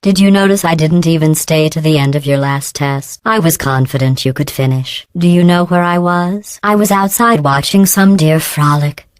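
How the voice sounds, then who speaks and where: A woman playing a passive-aggressive artificial intelligence in a video game, deadpan and falsely polite, with a synthetic, processed robotic cadence. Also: moderate pace, hardly any pauses, clear, resonant tone, no room sound, clean studio sound. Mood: mocking, insincere. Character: passive-aggressive female artificial intelligence running lab tests. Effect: robotic pitch-corrected voice processing. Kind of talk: scripted video game voice acting.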